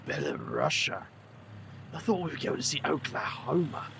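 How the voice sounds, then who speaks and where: An actor playing a weary, sardonic adventurer with a dry manner in an audio drama. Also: male voice, medium pitch, wildly swooping pitch, normal speed, vocal fry, background noise. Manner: dry, world-weary, sarcastic. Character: weary, sardonic supernatural adventurer with family baggage.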